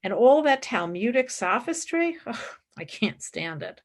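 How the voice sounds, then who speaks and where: An actress plays a searching young woman intellectual in a radio play. Her voice sits a little high and moves dramatically up and down. She speaks with earnest, declamatory intensity. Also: big pitch swings, unhurried, full character voice. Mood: spirited.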